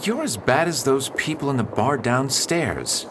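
An actor playing a stern, prim missionary reverend who is tense and curt in a radio play. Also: male voice, mid-range voice, big pitch swings, unhurried, noisy background. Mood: irritated. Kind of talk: radio theatre acting.

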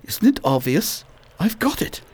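A woman's voice: lowish voice, sing-song, unhurried, a little mellow, slightly rough, dry recording, full character voice. A woman playing a weary, dutiful educated man in a radio play, terse and resigned.

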